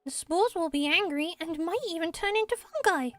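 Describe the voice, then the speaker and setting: Tiny timid forest spirit, very high. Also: female voice, moderate pace, fairly bright tone, no echo, soft background music. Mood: earnest, scolding. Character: small timid childlike forest spirit, earnest and naive. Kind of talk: game character voice acting, fan dub.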